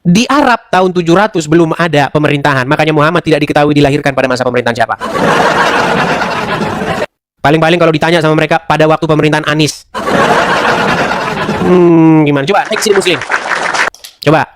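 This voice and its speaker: A man's voice: fairly high pitch, fast talker, pauses often, very noisy. A man hosting an online interfaith debate, lecturing in a mocking way and firing off rhetorical questions. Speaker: debate room host.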